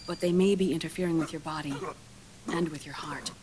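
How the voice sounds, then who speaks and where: An actress playing an earnest, grave female scientist who explains in a measured, hushed, clinical way in a dramatic video game cutscene. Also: sits low, steady tone, a crowd in the background, natural voice. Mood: clinical, apologetic.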